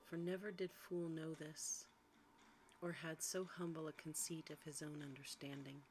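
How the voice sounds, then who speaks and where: A woman voicing a kind, candid, timid young woman in a fairy tale, softly, politely and earnestly. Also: sits low, level tone, normal speed, close to an everyday voice. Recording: faint background noise.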